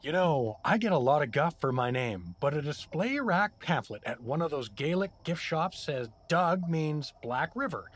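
A man's voice: on the high side, very animated, moderate pace, few pauses. An actor playing a dopey, middle-aged everyman dad in a comedic audio drama, sarcastic, flustered and blustering. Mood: wry. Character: dopey, indignant everyman dad, a wisecracking prisoner.

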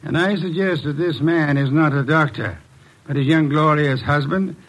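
Commanding detective, gravelly, higher-pitched male voice, pompous and precise, vintage radio drama. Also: fairly even intonation, normal speed, low-quality recording. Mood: commanding, deductive. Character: shrewd, commanding, self-assured male master detective. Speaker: lead detective. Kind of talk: 1940s radio acting.

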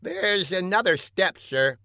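A man voicing a timid, servile scientist underling who meekly flatters the boss in a comic audiobook. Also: sits high, slow pace, no room sound, studio quality, pitched above the performer's own voice, exaggerated voice. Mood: fawning, nervous.

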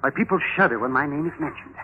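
A man's voice: on the high side, lively intonation, fast talker, close to an everyday voice. An actor playing a meek, polite man who blusters and falters while claiming to be brutal, in old radio drama style. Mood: indignant boasting. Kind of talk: 1950s radio drama acting. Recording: tinny, hissy old radio recording.

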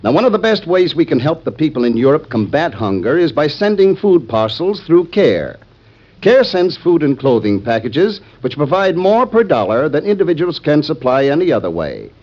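A man's voice: fairly high pitch, big pitch swings, moderate pace. A man reading scripted announcements in a 1940s radio announcing style. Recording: muffled old radio recording.

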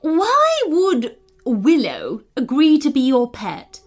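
A woman acts out a stately red panda queen from a children's storybook. She speaks slowly and deliberately, with grand swoops in pitch, imperious yet curious and soft at heart. Music plays behind her. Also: huge pitch swings, exaggerated voice. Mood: puzzled, probing.

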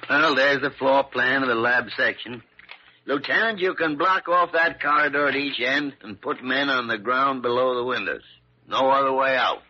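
Crusty old doctor, medium pitch, stubborn and protective, emphatic, vintage radio play with dull, slightly noisy sound. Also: male voice, normal speed, pushed and bright. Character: gruff, stubborn older male doctor, fiercely protective. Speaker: radio drama actor. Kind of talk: classic radio drama acting.

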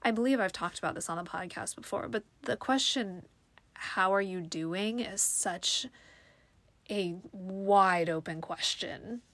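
A woman's voice: medium pitch, unhurried, a bit bright, dry recording. A young woman hosting her own podcast, talking alone in a rambling way with lots of fillers, a little sniffly from allergies. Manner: rambling, many fillers and restarts. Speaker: podcast host. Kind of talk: casual solo podcast monologue.

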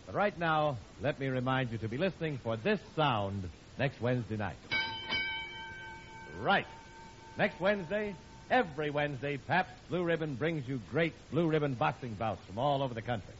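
A man's voice: mid-range voice, lively intonation, moderate pace. An American man announcing and advertising on 1940s radio with a polished, emphatic salesman's delivery. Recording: dull, noisy old radio recording.

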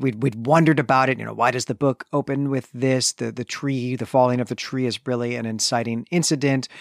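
A man's voice: medium pitch, dry recording, clean studio sound. A man co-hosting a podcast, recapping and discussing a book in a casual, conversational way with hedging asides. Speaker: podcast co-host.

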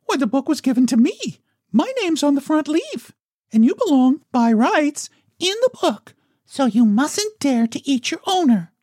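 A man voicing a frightened, then bossy little girl in a storybook reading, with a shrill, scolding manner. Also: big pitch swings, normal speed, darkish tone, no echo, clean studio sound. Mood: insistent.

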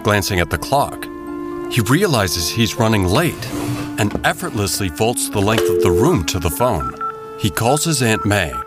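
A man narrating a scripted audio drama as a measured, descriptive storyteller. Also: fairly low pitch, very animated, loud music behind the voice. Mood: storytelling.

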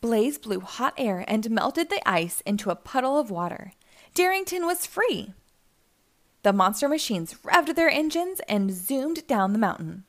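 A woman narrates a children's storybook read-aloud in a fairly high voice. Her delivery is expressive, with very animated pitch that rises and falls through each sentence. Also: a little slow. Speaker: children's book reader.

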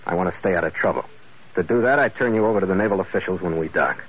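Clipped American sea captain, muffled old radio. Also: male voice, sits low, rapid-fire, breathy edge. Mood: stern, questioning. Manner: clipped, terse, hard-boiled delivery. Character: firm, weary ship's master narrating his log. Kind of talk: 1940s radio adventure acting. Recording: muffled old radio recording with loud music.

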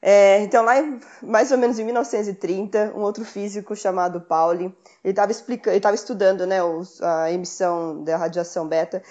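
Female podcast co-host, mellow relaxed explainer, slightly muffled recording. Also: mid-range voice, a little fast, few pauses. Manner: relaxed, tag questions "né?". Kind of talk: casual science explanation. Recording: slightly dull lo-fi mic.

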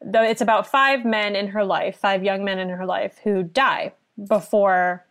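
Young woman from virginia, mid-pitched voice, earnest, thoughtful, casual book talk. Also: fairly even intonation, a little slow, a bit bright, clean tone. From southeastern Virginia, US. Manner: earnest, thoughtful, rambling with restarts. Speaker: book recommender. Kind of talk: casual chat.

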